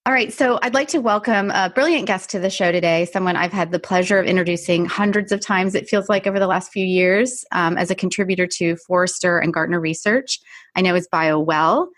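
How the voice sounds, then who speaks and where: A woman's voice: on the low side, a little fast. A woman hosting a podcast interview in a conversational, presenting style. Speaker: podcast host.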